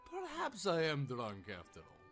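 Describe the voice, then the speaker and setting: Man playing a fretful lieutenant, very animated. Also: on the high side, normal speed, faint music behind, voice pushed up, full character voice. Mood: bemused, polite. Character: uneasy, polite male army lieutenant, wryly sceptical. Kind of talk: storybook character voice.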